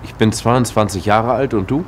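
A young actor plays a polite young Spanish man newly arrived in Germany, who speaks in short, careful learner's sentences. His voice sits low, with a faint hiss of noise behind it. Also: moderate pace, lightly acted. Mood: worried. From Spain. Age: 20s. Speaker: lead character. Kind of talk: scripted German-learning drama.

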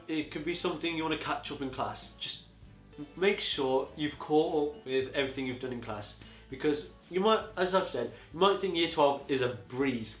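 An eighteen-year-old man giving rambling, casual advice to camera from a living-room sofa, his voice going a bit hoarse. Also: on the high side, fairly bright tone, reverberant. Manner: rambling, chatty, peppered with "you know". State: voice getting hoarse. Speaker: student vlogger. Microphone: camera on a tripod across the room.

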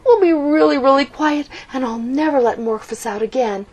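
A woman's voice: high voice, moderate pace, darkish tone, pitched above the performer's own voice, natural voice. A woman reading a storybook, voicing a timid, polite boy ghost who talks in an eager, pleading way.

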